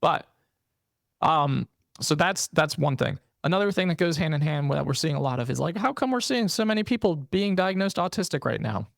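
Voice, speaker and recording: Man, podcast host, earnest, conversational, fairly high voice, gravelly fry. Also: animated, a little fast, no room sound, clean studio sound. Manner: earnest, personal, says "right?" often. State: briefly losing his voice. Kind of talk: casual interview conversation.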